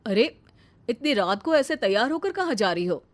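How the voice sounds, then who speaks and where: A woman playing a disapproving, traditional older mother-in-law who scolds in an animated family story. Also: fairly high pitch, moderate pace. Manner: reproachful, scolding. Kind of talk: melodramatic animated story voice acting.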